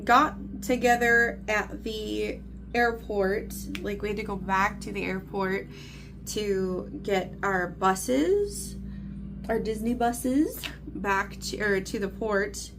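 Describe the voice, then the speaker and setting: Woman, thirties, casual vlogger storyteller. Slow, meandering delivery with vocal fry, faint noise behind. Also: medium pitch. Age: 30s. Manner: chatty, meandering, frequent "like" and "you know". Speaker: craft vlogger. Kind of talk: casual rambling storytelling chat.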